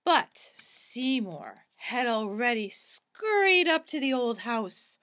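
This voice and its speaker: High-voiced woman reading a picture book slowly. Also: sing-song, fairly bright tone. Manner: slow, dramatic storytelling for young children. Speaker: preschool teacher reading aloud. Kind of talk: expressive children's picture-book read-aloud.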